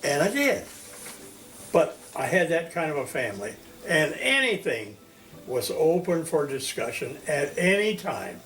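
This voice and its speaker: A man of one hundred from Indiana, recalling his life as a teacher in a slow, storytelling interview. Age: 100s. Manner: slow, storytelling, animated pitch swings. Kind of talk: reminiscing interview.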